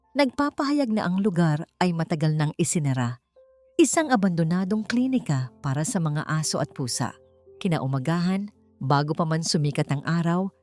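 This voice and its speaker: A woman's voice: fairly low pitch, a little mellow. A woman narrator reading a scripted dramatic story in a storytelling style. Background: music.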